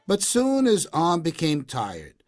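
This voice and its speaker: A man narrating a scripted children's story in an expressive, deliberate way with clear phrasing. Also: fairly high pitch. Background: background music.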